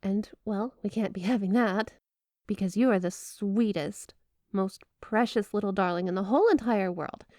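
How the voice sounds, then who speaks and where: A woman's voice: mid-range voice, moderate pace, warm tone, dry recording, studio quality, lightly acted. A woman playing a flirty, obsessive yandere wife in an ASMR roleplay, gushing and coy with a possessive edge under the sweetness. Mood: sweet, affectionate. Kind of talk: ASMR roleplay, addressing the listener as spouse. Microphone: close, dry microphone.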